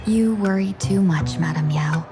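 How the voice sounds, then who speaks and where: A woman voicing a composed, sly fox-woman diplomat for a video game, speaking in a measured, polite and coolly self-possessed way. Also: medium pitch, lightly acted. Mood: polite, wry. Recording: roomy echo under clear background music.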